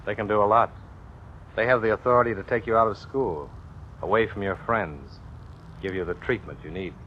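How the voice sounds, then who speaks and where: An actor playing an earnest, firm, fatherly police lieutenant in an old-time radio drama, measured and deliberate. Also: lowish voice, natural voice. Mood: stern. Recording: muffled old radio recording, faint noise.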